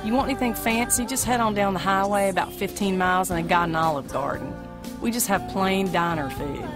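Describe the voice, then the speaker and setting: A woman's voice: mid-range voice, a little fast, natural voice. A woman playing a plain-spoken, folksy diner waitress in her thirties in an amateur audio play. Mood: weary, brusque. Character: weary mid-thirties female diner waitress, brusque, turning starstruck fangirl. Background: music.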